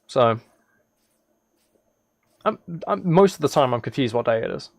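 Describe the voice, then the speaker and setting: A man's voice: mid-range voice, expressive, a little slow, gravelly, no echo. A man chatting casually on a livestream as he plays a game, rambling and thinking aloud. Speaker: live streamer. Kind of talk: casual livestream chat while gaming, reading chat.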